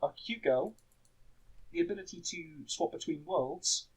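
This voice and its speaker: A man's voice: high voice, very animated, unhurried, creaky, a crowd in the background, rough recording. A man chatting casually as a podcast host in a matter-of-fact manner.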